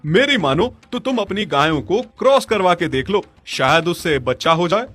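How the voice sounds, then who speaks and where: Man as a pushy neighbour, fairly high male voice, practical, insistent, cartoon story, music underneath. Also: expressive, normal speed, a bit bright. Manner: insistent, advising. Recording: music behind the voice.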